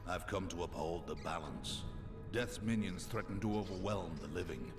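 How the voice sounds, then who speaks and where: A grave necromancer and guardian of balance from a fantasy game , played by a male actor. He speaks low and solemnly, in measured phrases, with clear music underneath. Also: natural voice. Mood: grave resolve. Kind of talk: fantasy video game voice acting.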